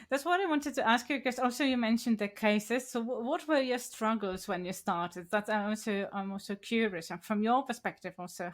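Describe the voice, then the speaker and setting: Polish woman hosting a podcast, english isn't her first language, lots of uh and repeats, mid pitch. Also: a bit bright. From Poland. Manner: hesitant, many fillers and repeated words. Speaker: podcast host. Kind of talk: casual conversational chat.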